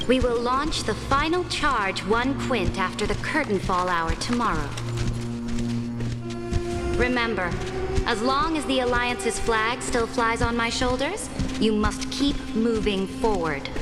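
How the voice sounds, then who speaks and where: An actress playing a proud, calculating tyrant empress in a video game, imperious and formal in her declamatory delivery. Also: on the high side. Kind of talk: dramatic video game voice acting. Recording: long hall reverb under clear music.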